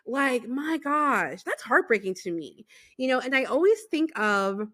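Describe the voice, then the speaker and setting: La woman hosting a podcast, kinda high voice, excited, says like and you know a lot. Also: a little slow. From Los Angeles, USA. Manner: animated, chatty, laughs often, many fillers. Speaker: podcast host. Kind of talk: casual interview chat.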